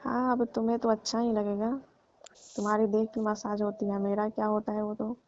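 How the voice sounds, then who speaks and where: Woman playing a weary, grumbling housemaid aunty, flat-toned. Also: mid-range voice, a little fast, slight background noise, lightly acted. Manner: grumbling, reproachful. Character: weary complaining housemaid aunty, aggrieved but familiar. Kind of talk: staged comic phone call skit, colloquial dialogue. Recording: muffled lo-fi dry phone call recording.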